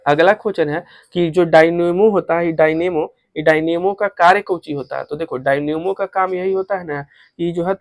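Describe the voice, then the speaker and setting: A man with a Bihari accent speaks in a fairly high voice, close and dry. He teaches like a coaching tutor, posing a question, answering it and repeating it so it sticks, with English terms mixed in. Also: no echo. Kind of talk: tutorial explanation of exam questions.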